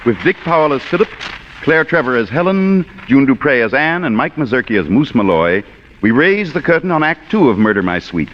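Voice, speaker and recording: A man's voice: mid-range voice, very animated, fairly quick. A man hosting a radio theater with a formal 1940s style of introduction. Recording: muffled, noisy vintage radio broadcast.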